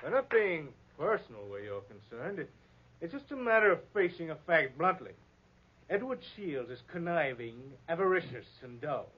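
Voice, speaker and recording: Blunt, burly sculptor, swooping delivery. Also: male voice, fairly high pitch, sing-song, moderate pace. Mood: blunt, scornful. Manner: blunt, emphatic. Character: blunt, burly, self-indulgent male sculptor. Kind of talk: 1940s radio acting. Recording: noisy, dull old radio recording.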